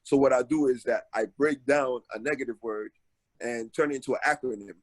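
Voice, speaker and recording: Man, 40s, interview guest on a call, laid-back storyteller, dry close sound, middle pitch. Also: brisk, no room sound. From Haiti, raised in Brooklyn, New York. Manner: relaxed, many filler ums, storytelling. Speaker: author guest. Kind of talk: casual interview talk. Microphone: video call.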